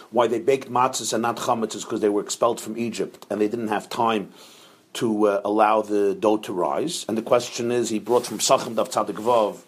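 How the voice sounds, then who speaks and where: A rabbi teaching a class on a religious text, earnest and emphatic, who repeats phrases for stress and sprinkles in Hebrew and Yiddish terms. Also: male voice, fairly low pitch, slightly rough. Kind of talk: explaining a religious text to students.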